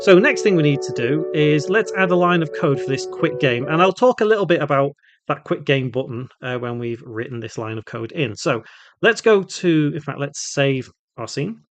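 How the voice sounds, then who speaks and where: A man hosting an informal step-by-step software tutorial, thinking aloud as he works through it. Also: on the high side, animated, moderate pace, no echo, soft background music. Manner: thinks aloud while working, spelling out code symbol by symbol. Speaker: tutorial host, game development instructor.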